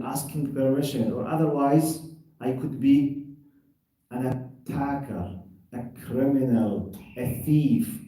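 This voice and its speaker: A man's voice: medium pitch, warm tone. A man giving a religious lesson on Quran commentary, arguing through rhetorical questions and everyday examples. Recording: very echoey room, distant mic.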